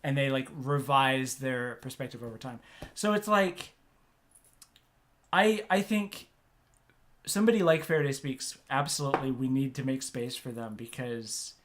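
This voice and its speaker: A woman's voice: very deep voice, fairly even intonation, a little slow. A woman host from Oklahoma on a livestream, talking in a rambling, hesitant way with many restarts. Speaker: streamer host. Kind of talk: casual livestream chat.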